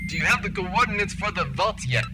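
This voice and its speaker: A man's voice: sits high, lively intonation, a little fast, background noise. A man playing an impatient, haughty space villain captain in an audio drama, with a pompous, sneering manner. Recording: loud music under the voice.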